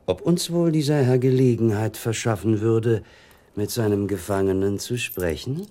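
An actor playing a confident, reasoning gentleman with formal, measured speech in a German radio drama. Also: on the low side, natural voice.

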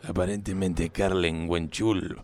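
A deep-voiced policeman, acted by a man in a comic crime radio play. He reports to his inspector in a formal, matter-of-fact, deadpan way. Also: unhurried.

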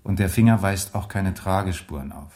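An actor playing a methodical police officer in a radio drama, reporting in a factual, clipped, official manner. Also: male voice, low voice, normal speed, natural voice. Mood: matter-of-fact.

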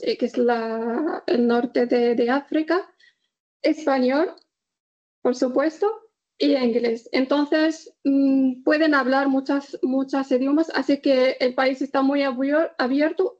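A woman from northern Morocco, answering interview questions in a halting way, pausing often to search for words. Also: high voice, a little mellow, lo-fi sound. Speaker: guest.

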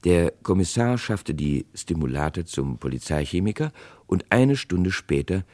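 A man's voice: low voice, big pitch swings, a little slow, warm tone, no echo. A man giving dramatic scripted narration for a vintage radio crime play.

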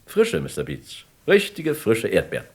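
A man's voice: mid-range voice, huge pitch swings, normal speed, gravelly, full character voice. An actor playing an earnest, hesitant millionaire recalling his kidnapping as a witness in a German radio crime drama. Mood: earnest, impressed.

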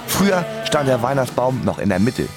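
A man playing a sardonic musician, narrating his own story in a scripted, deadpan radio drama style. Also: medium pitch, normal speed, over music, close to an everyday voice. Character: sardonic male musician recalling his life. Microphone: close studio microphone.

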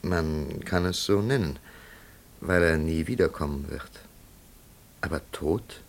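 An actor playing a thoughtful, probing investigator with a pondering, deliberate manner in a German radio play. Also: male voice, a bass voice, creaky, exaggerated voice. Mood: solemn, certain. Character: thoughtful, probing male investigator, quietly certain.

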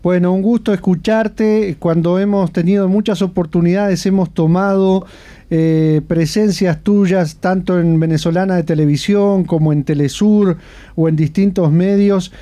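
A man's voice: high voice, fairly even intonation, a little slow, warm tone. A man with a Uruguayan accent hosting a radio interview, speaking with frequent hesitations and fillers. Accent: Uruguayan Spanish. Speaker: radio host.

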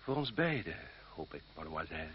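An actor playing a stately, coldly courteous marquis in a Dutch radio play, pompous and ceremonious. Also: male voice, very deep voice, big pitch swings, brisk, background noise. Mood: ceremonious, courtly. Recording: muffled old radio play recording.

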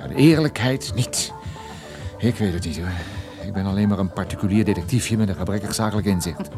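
An actor playing a wry, musing private detective in a radio play, speaking in a hesitant, ironic, conversational way. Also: male voice, lowish voice, brisk, over music, natural voice. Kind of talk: radio drama dialogue.

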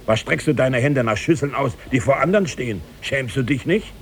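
Radio drama commoner male actor: medium pitch, grumbling and reproachful, scolding a table neighbour, 1950s style. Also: fairly quick, a little hiss or hum, close to an everyday voice. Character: indignant, scolding male diner at a public feast. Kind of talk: 1950s German radio play acting.

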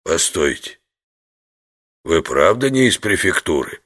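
An actor playing a wary old fisherman from a poor village in an audio drama, slow and questioning, curt but able to turn accommodating. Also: deep voice, big pitch swings, a bit bright, full character voice. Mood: gruff, wary. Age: older. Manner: slow, questioning, drawn-out reactions.

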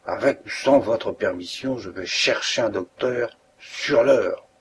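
A man reading a devoted, anxious old landlady in an audiobook. She speaks urgently and pleads, her voice pitched low and kept plain, with no real attempt at a woman's voice. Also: slow pace, a little hiss or hum. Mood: worried.

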